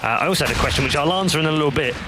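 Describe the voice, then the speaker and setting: A man commentating a live esports match, heavy on stats and building to excited play-by-play calls. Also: on the high side, rapid-fire, background noise.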